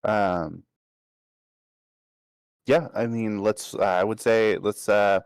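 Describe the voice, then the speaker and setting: A man's voice: on the low side, fairly even intonation, slow, smooth, no echo, clean studio sound. A man playing a tabletop role-playing game, talking casually and hesitantly as he searches for words.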